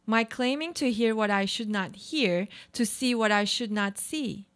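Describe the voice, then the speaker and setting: A woman's voice: on the high side, a little slow, fairly bright tone. A woman playing a troubled, self-doubting housewife in a reflective, questioning radio play monologue. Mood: doubtful, evasive.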